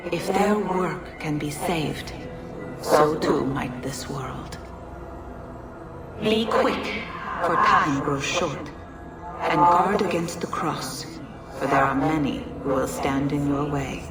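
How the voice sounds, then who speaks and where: An actress voicing a solemn ancient female being who speaks slowly and gravely, as in a game cutscene. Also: sits low. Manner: slow, solemn, measured. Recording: echoey and distant, loud music.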